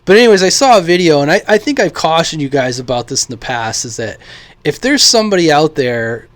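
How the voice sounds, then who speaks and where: A man from the United States talking casually and unscripted as a radio hobby vlogger, halting and thinking aloud. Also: fairly high pitch, lively intonation, no echo. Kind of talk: casual unscripted vlog talk.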